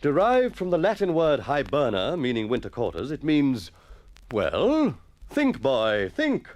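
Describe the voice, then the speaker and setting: A man's voice: mid-range voice, huge pitch swings, measured pace, a little mellow, no room sound. A man reading a story, voicing a stern, irritable schoolmaster who lectures pompously. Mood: exasperated. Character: stern, exasperated male schoolmaster.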